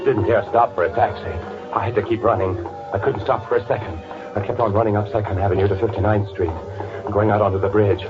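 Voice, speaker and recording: Man acting a guilty narrator, low voice, nervous, halting, radio drama style, tinny vintage recording. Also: steady tone, natural voice. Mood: frantic. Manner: nervous, halting under pressure. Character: panicky, guilty man narrating his crime. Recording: phone-like old radio recording with loud music.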